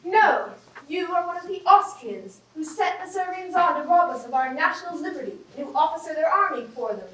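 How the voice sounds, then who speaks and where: A woman's voice: very high-pitched, expressive, fairly quick, a bit bright. A woman on stage playing a proud, romantic young lady in a classic comedy, speaking formally and with indignation. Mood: haughty, indignant. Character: proud, romantic young Bulgarian lady, haughty yet generous. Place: theatre stage, recorded from the audience. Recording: roomy, distant stage recording with hiss.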